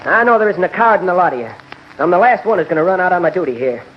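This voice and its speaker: A man's voice: high-pitched, lively intonation, racing, crowd noise. An actor playing a firm, weary frontier marshal in an old radio western, a man with an American accent and clipped, authoritative speech. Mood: urgent, commanding. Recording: muffled, hissy vintage radio recording.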